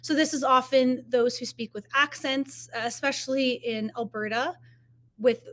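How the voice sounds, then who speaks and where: A woman's voice: sits high, steady tone, normal speed, fairly bright tone. A young woman from Canada giving an online conference talk about her research, informal and earnest, with frequent fillers and self-corrections. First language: English. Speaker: master's student presenting research. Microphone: computer mic on a video call.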